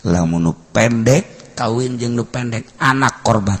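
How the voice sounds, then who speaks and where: A man with a Sundanese accent, telling a comic folk tale in a sermon, drawing out his words and jumping between soft asides and loud bursts. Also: medium pitch, a little hiss or hum. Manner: slow, drawn-out comic storytelling with sudden loud bursts and mock voices. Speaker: Islamic preacher. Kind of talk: humorous storytelling sermon.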